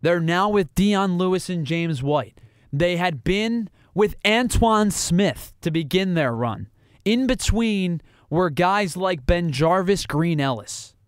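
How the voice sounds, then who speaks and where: Emphatic high-voiced sports podcast host. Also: male voice, animated, takes it slowly, clean tone, dry recording, studio quality. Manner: emphatic, building, rhetorical questions. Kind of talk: solo sports analysis monologue.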